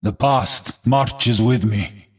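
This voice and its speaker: An actor playing a stoic, battle-scarred warrior in a video game, solemn and defiant, with a rough-edged husky voice and measured, declamatory delivery. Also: male voice, mid-range voice, exaggerated voice. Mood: grim resolve. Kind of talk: heroic video game voice acting.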